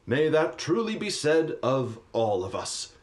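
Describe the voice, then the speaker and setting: A man narrating a radio play like a storybook, with a dramatic, deliberate delivery. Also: fairly high pitch, very animated.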